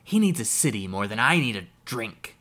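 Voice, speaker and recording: Guy playing a drunk ranting uncle, shouty and sneering, storybook voice. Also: fairly low pitch, big pitch swings, normal speed, heavily acted. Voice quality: loud, snarling, rising to shouts. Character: drunk bitter ranting uncle, middle-aged man. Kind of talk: audiobook character voice.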